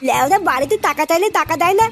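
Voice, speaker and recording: A woman's voice: sits high, animated, racing, fairly bright tone, faint music behind. A woman voicing a brash, bossy, foul-mouthed young male village thief in a crude comic cartoon. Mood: brash, scheming.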